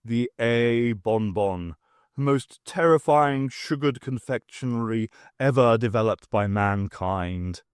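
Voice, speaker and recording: A man voicing a grave, pompous storybook king who speaks solemnly with dramatic pauses. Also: mid-range voice, clear, resonant tone, no echo, clean studio sound, close to an everyday voice.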